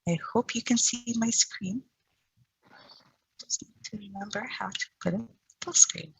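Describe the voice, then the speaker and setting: A middle-aged woman who stutters, giving an online presentation as founder of a stuttering support group, with pauses and repeated sounds. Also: mid-range voice, steady tone, vocal fry, slightly rough, no echo, rough recording.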